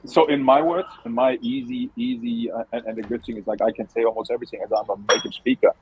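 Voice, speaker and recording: A man's voice: medium pitch, fairly even intonation, quick pace, dull audio, slight background noise. A man co-hosting a casual live chat, talking bluntly and swearing, with many restarts. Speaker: co-host.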